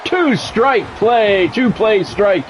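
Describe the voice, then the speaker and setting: A man's voice: high voice, lively intonation, slow, slight background noise, rough recording. A man doing football play-by-play as a game streamer, in short clipped announcer-style calls mixed with casual chat to viewers.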